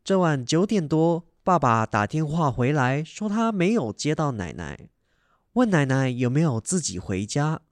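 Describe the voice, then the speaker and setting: A man's voice: on the high side, sing-song, a little slow. A young man with a Taiwanese accent reading scripted narration for a radio drama. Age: young adult.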